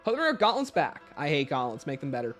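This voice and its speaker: A man's voice: a little high, sing-song, brisk, a bit bright, soft background music. A man hosting a livestream, explaining and answering viewer questions off the cuff. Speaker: livestream host.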